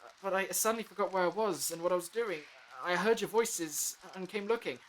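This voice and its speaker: Guy doing nervous dazed man, bright tense voice, stammery and hesitant, audio drama with faint noise. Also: sits high, brisk, low-quality recording. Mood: dazed, apologetic. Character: dazed, anxious, apologetic man in pain.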